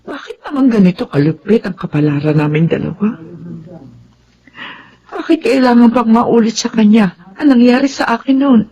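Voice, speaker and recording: An actress with a Filipino accent playing a sorrowful, understanding older widowed mother with a creaky voice in a radio drama. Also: a little high, expressive, normal speed, warm tone. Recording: dull sound, faint background noise.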